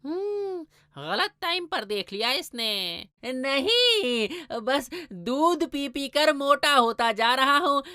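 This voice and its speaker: A woman's voice: high-pitched, big pitch swings, slow, hardly any pauses, bright, forceful tone, no room sound, clean studio sound, exaggerated voice. A woman voicing a sly, greedy, boastful tomcat in a children's cartoon, with a scheming and pompous manner. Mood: evasive. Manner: scheming, pompous, hesitant when covering up. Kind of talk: animated children's story voice acting. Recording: background music.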